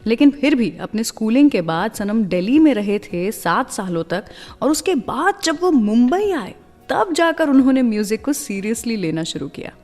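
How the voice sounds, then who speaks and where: Mellow Indian woman radio host, music behind. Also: fairly high pitch, sing-song, normal speed, big-room sound. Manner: storytelling, addresses listeners directly, mixes in English phrases. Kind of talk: scripted radio presenting over music.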